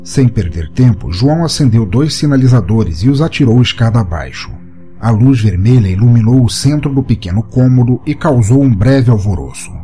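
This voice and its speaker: A man narrating a scripted short story for a podcast in a measured, dramatic storytelling way. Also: mid-range voice, mellow. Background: music under the voice.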